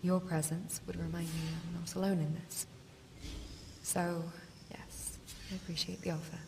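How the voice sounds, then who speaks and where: A woman playing an earnest, remorseful yet resolute adventurer in a fantasy game, speaking in a solemn, measured way. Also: fairly low pitch, flat delivery, natural voice. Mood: hesitant, remorseful. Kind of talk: dramatic video game character voice acting. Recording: long hall reverb, faint background noise.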